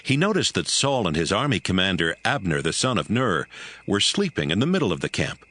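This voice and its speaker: A man narrating a scripted audio Bible as a story, in a measured storytelling manner. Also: low-pitched, very animated, fairly bright tone.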